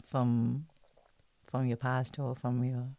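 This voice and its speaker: Low-pitched, hesitant woman in an interview. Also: flat delivery, takes it slowly, warm tone, no echo. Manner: hesitant, searching for words in short broken phrases. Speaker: guest actress being interviewed. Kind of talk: casual podcast interview chat.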